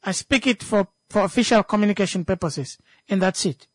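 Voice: mid-range voice, a little fast, no echo. Namibian speaker with a dry, close sound. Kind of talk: unscripted discussion.

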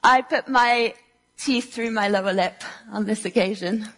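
A woman's voice: mid-range voice, unhurried, fairly bright tone, big-room sound, poor audio. A young woman from Switzerland giving a prepared talk to an audience in a room, telling personal stories with earnest delivery and a touch of dry humor. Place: lecture room before an audience.

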